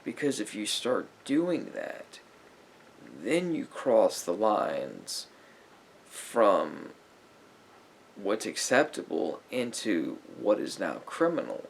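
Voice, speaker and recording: Man acting as a slow-talking, low-voiced patient therapist. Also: wildly swooping pitch, vocal fry, a little hiss or hum, heavily acted. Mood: firm, explaining. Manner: measured, hesitant, deliberate questioning with long pauses. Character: calm, patient, probing male therapist talking to a client. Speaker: ASMR roleplay performer. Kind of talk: therapist roleplay ASMR, addressing the listener.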